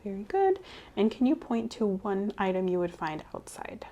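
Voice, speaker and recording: A woman doing a soft-spoken ASMR medical role-play as a clinician, gently reassuring and giving step-by-step instructions. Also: medium pitch, sing-song, moderate pace. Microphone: clip-on mic.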